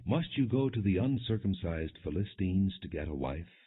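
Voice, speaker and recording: A man's voice: low voice, animated, moderate pace, breathy edge. A man voicing disapproving middle-aged parents, reproving and questioning, in a scripture reading on slightly muffled audio.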